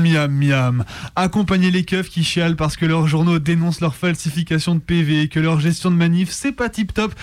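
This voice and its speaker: A man with a French accent giving sarcastic, blunt, slangy opinion commentary as a radio presenter. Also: fairly high pitch. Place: radio studio.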